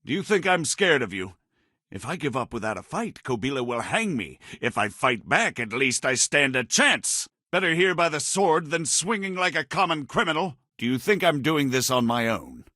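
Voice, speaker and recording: An actor voicing a proud, roguish medieval baron in a video game, with a wry, condescending and formal way of talking. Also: male voice, fairly high pitch, big pitch swings, moderate pace, sharp tone, no room sound, studio quality, full character voice. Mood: wry, defiant. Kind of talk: video game character dialogue.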